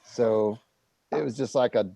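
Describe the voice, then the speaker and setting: Gravelly, mellow-voiced man chatting casually. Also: medium pitch, measured pace, no echo. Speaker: guest pilot. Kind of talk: casual live-stream chat.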